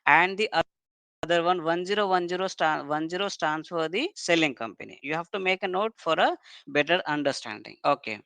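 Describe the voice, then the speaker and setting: A software trainer walks through technical material step by step. The voice is clean and smooth, with a dry sound free of room echo. The delivery is explanatory, with points often closed by brief checking tags. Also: mid-range voice, lively intonation, fairly quick.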